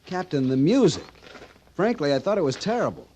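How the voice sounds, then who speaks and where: Some noise from an old radio recording surrounds an earnest cavalry lieutenant. His fairly high voice swings animatedly as he speaks, fussy, hesitant and deferential to his superior. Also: sing-song, a little fast, darkish tone. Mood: fretful. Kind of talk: 1950s radio western acting.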